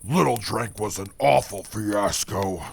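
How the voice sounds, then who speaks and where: A man's voice: lowish voice, unhurried, gravelly, a little hiss or hum. An actor playing a stiff, bookish man who talks in a formal, haughty way in a fan audio drama. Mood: disdainful. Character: Atlas, stiff bookish man, cold and aloof.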